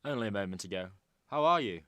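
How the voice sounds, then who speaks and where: A man's voice: on the low side, lively intonation, fast, fairly bright tone, no room sound. A man playing a grave, courteous police chief in an amateur radio drama, speaking formally.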